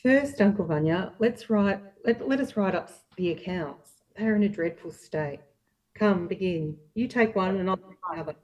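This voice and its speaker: A woman playing an earnest, patient, sorrowful young woman in an amateur play reading, speaking in a measured way. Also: mid-range voice, a little mellow, lightly acted. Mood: coaxing. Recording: dull, distant microphone.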